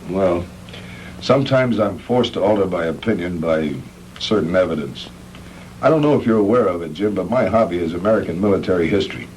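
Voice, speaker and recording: A man playing a calm, frank middle-aged hospital doctor in a measured, matter-of-fact 1960s B-movie style. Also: low voice. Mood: grave, recounting. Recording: noisy old 1960s film soundtrack.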